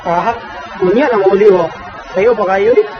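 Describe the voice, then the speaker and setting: Man acting as high-voiced, restless young Filipino buddy. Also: moderate pace, natural voice. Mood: teasing. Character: Ram, restless young man eager to hang out. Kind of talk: amateur radio drama acting. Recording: dull lo-fi audio under loud music.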